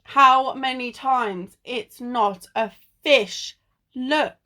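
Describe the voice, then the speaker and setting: A woman's voice: sits high, extremely slow, sharp tone, heavily acted. A woman acting in an amateur radio play as a sensible, bossy sleuth with an exasperated, chiding manner. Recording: recorded at home in isolation.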